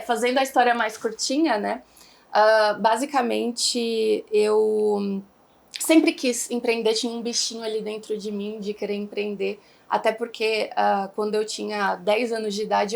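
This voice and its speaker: Chatty higher-pitched Brazilian woman. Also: steady tone, moderate pace, vocal fry. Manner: chatty, rambling with many fillers, laughs often. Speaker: guest, founder of an online English school. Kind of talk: casual interview chat.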